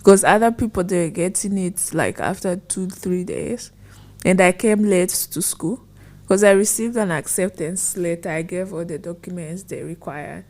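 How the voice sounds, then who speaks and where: A young woman from Rwanda telling her story as a podcast guest, soft-spoken and thoughtful, her voice trailing off at phrase ends. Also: lowish voice, moderate pace. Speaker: guest, former foreign student. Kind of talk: conversational storytelling.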